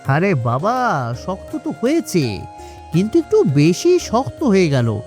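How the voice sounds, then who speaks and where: Boastful cartoon swindler voiced by a man, swooping high pitch. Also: wildly swooping pitch, unhurried, a little mellow, faint music behind, exaggerated voice. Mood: surprised, scheming. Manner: boastful, brash, wheedling salesman. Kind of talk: cartoon story voice acting.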